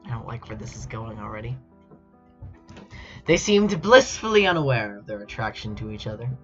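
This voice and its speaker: A man's voice: medium pitch, big pitch swings, normal speed, fairly bright tone. A man streaming casually and reading fan fiction aloud in a mock-dramatic, amused and incredulous way. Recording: dull lo-fi mic with music behind. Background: background music.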